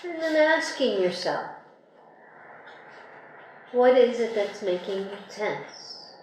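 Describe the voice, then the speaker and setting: Echoey, gentle woman guiding meditation. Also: on the high side, lively intonation. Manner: gentle, unhurried, with long pauses. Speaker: meditation guide. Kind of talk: guided meditation, soothing slow instruction. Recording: very echoey room, faint background noise.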